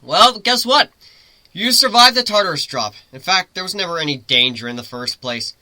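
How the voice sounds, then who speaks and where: A gleeful, cocky griffin played by a man from a dramatic story reading. He is loud and very bright, teasing and taunting with playful swagger. The pitch sits high and swoops about. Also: lively intonation, moderate pace, dry recording, exaggerated voice. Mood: smug. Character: cocky teasing male griffin, gleeful daredevil sky sailor.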